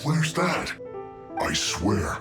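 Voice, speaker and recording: Hapless low-voiced henchman, highly animated. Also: drawn-out words, exaggerated voice. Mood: defensive. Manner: plaintive, exasperated, melodramatic. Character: hapless male henchman, aggrieved, protesting his innocence. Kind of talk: video game voice acting. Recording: echoey voice, music behind.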